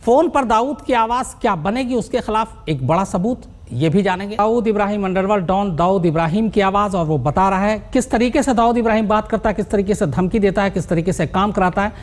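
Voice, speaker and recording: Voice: medium pitch, fairly quick, hardly any pauses. Emphatic TV news anchor building up suspense. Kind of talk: live TV news presentation.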